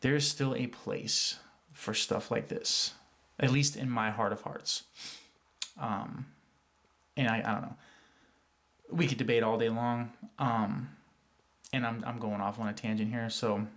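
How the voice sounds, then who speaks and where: A man's voice: mid-range voice, steady tone, measured pace, pauses often, a bit bright. A man with a cold, casually reviewing a toy vehicle off camera, rambling with many fillers and restarts. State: congested from a cold.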